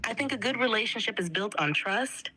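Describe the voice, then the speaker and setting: A woman's voice: fairly high pitch, lively intonation, brisk, pushed and bright, soft background music. A woman answering questions in an agreeable, upbeat, polite way.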